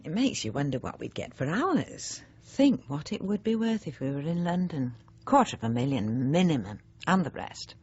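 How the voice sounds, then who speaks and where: Husky, swooping, snobbish housewife. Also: female voice, deep voice, dull audio, exaggerated voice. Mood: boastful. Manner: chatty, self-justifying, genteel, digressive with pointed emphasis. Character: snobbish, self-satisfied, resentful middle-aged housewife and mother. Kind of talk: radio drama monologue, confiding in the listener.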